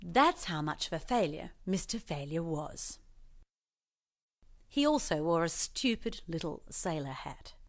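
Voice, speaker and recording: A woman reading a scripted children's storybook as parody, expressive and mock-earnest in her storytelling. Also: mid-range voice. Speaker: storybook narrator.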